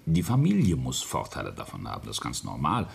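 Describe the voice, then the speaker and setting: A man's voice: a bass voice, wildly swooping pitch, fast, gravelly, breathy edge, full character voice. A middle-aged man playing a self-satisfied, jovial and domineering rich patriarch in a radio play, pompous and teasing. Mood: smug, probing.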